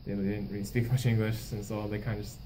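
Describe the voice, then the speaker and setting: A man's voice: on the low side, little pitch movement, fast talker, warm tone. A man in his twenties, talking loosely with lots of "like" in a casual interview. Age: 20s. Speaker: student interviewee. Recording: distant mic with noticeable background noise.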